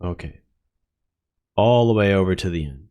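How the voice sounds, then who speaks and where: A man's voice: sits low, lively intonation, moderate pace, darkish tone, clean tone. A man giving casual live commentary on a game, with playful, sarcastic asides. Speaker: streamer reacting to a game. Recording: game music behind the voice. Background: game music.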